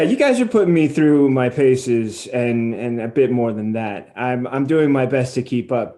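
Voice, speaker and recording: A man's voice: medium pitch, mellow. A man hosting a conversational interview, speaking in an earnest, self-effacing way. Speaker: interview host.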